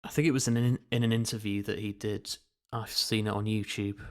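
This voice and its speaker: A man's voice: lowish voice, little pitch movement, a little fast. A man co-hosting a casual podcast, explaining things in a hedging, conversational way. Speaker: podcast co-host.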